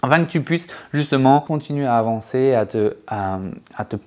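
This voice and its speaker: A man's voice: mid-range voice, normal speed. Chatty French man explaining to camera. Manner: chatty, many fillers and restarts, lively hand gestures. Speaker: sales trainer, channel co-host. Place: living room set with sofa and shelves. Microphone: lapel mic.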